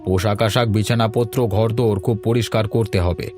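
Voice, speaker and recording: A man performs a village doctor in a cartoon fable. He gives instructions in a kindly, matter-of-fact way with a lowish voice. Loud music runs behind him. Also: steady tone, fairly quick, lightly acted. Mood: calm, advising. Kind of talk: Bengali moral-story cartoon dubbing.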